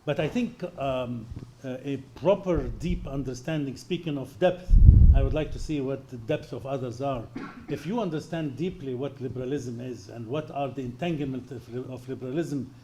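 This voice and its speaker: A man's voice: mid-range voice, moderate pace, warm tone, a little hiss or hum. A man, the guest lecturer, answering an audience question in an emphatic, argumentative way.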